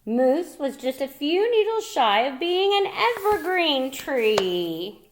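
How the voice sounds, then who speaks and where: Animated, high-pitched woman reading a children's story. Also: slight background noise. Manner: theatrical, playful, dramatic pauses, explains words to young listeners. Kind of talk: expressive children's picture book read-aloud with asides to listeners.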